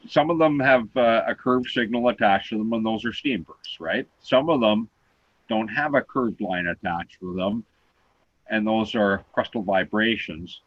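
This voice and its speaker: A man with a loud voice, co-hosting an online talk show and patiently explaining things step by step. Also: on the low side, measured pace, no room sound, lo-fi sound. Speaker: co-host explaining seismograms.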